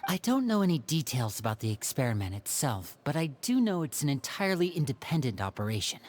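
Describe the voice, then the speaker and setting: A poised young man from a game cutscene whose pitch moves around expressively. He explains things calmly and reasonably, aiming to reassure and persuade the listener. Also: fast. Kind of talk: video game cutscene dialogue.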